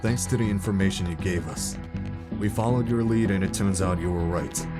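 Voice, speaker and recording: A man playing a blunt, world-weary squad captain in an audio drama, with a dry, deadpan manner. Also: sits low, brisk, natural voice. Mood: dry, wary. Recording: loud music behind.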